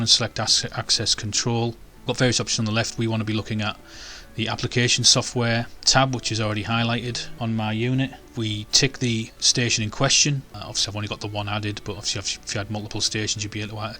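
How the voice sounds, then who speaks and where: Male tech tutorial presenter, informal and instructional, lowish pitch, flat delivery. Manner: informal step-by-step explaining. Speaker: technical presenter. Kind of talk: product demonstration tutorial.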